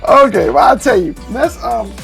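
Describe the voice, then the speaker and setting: Animated, gravelly middle-aged man co-hosting. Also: high-pitched, normal speed, faint music behind. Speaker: talk show co-host. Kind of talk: casual banter.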